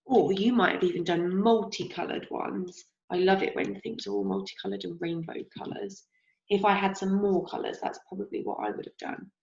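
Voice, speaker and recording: A young woman teacher with a slightly croaky voice, gently leading a craft lesson for young children and asking them questions as she goes. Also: medium pitch, expressive. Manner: slow, encouraging, asks children questions. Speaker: primary school teacher. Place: home kitchen, indoors. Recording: roomy, dull lo-fi sound.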